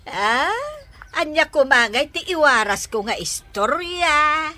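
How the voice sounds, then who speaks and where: A woman's voice: high-pitched, lively intonation, takes it slowly, bright, forceful tone, heavily acted. A woman with an Ilocano accent playing a nosy, envious gossip of an auntie in an audio drama, scoffing and drawling. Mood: indignant. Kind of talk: Ilocano radio-style drama acting.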